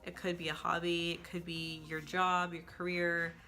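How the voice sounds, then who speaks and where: Young woman who stutters, bright voice. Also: on the low side, level tone, normal speed, slight background noise. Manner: stutters, frequent repeated sounds and restarts. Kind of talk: casual talk to camera.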